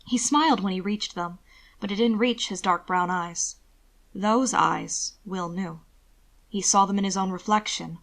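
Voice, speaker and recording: A woman reading scripted fiction as a narrator, in a measured, dramatic storytelling manner. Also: medium pitch, fairly bright tone.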